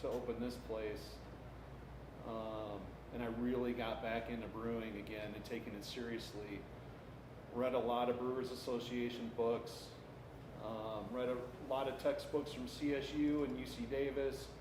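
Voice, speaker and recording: Middle-aged male panelist, craft brewer, halting and self-deprecating, echoey and noisy live recording. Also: medium pitch. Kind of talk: casual panel talk. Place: indoor room at a live panel event. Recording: echoey room, clearly noisy live stream.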